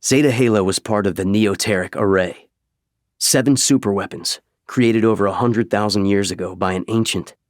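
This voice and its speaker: A man reading scripted audiobook narration in a measured, dramatic way, close to a studio microphone. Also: fairly low pitch, no room sound.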